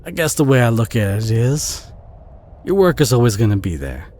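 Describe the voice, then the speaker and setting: A man with a Southern US accent playing a kind, protective, bashful country boy in an audio roleplay, speaking in a gentle, folksy, hesitant way with a husky voice. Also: medium pitch, very animated, moderate pace, slight background noise, natural voice. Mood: earnest concern. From southern United States. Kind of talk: audio roleplay acting, addressed to the listener.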